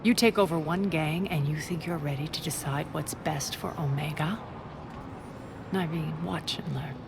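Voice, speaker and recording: A woman's voice: deep voice, moderate pace, vocal fry, noisy background. A woman playing a ruthless, imperious alien crime queen in a video game, commanding, haughty and contemptuous. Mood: cold, threatening. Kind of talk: cinematic video game acting. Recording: game music behind the voice.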